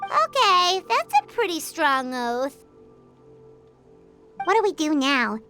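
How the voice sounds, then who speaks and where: A woman voicing a small excitable flying fairy companion with a childlike, squeaky voice in a video game. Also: slow pace, heavily acted. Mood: suspicious, pondering. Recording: music under the voice.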